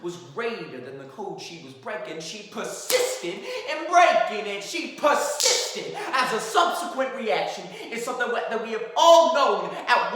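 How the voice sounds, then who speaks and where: A man performs an impassioned male defense lawyer addressing a jury in a theatrical monologue. His forceful delivery is emphatic and sweeps widely in pitch, broken by dramatic pauses. It is heard from a distance in a very echoey hall. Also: heavily acted. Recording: very echoey hall, far from the mic.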